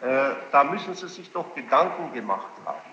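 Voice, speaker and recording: A man questioning a witness as a presiding judge, formal and probing, choosing his words deliberately with pointed challenges. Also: medium pitch, very animated, bright, forceful tone. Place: large reverberant courtroom. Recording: muffled, noisy archival courtroom tape, echoing hall.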